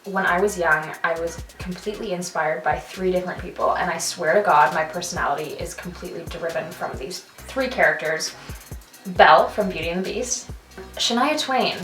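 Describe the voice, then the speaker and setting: A young Canadian woman with a lowish voice chatting on camera, animated and quick to laugh. She sits back from the mic in a roomy space, with faint music playing behind her. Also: far from the mic. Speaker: vlogger. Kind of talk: casual chat.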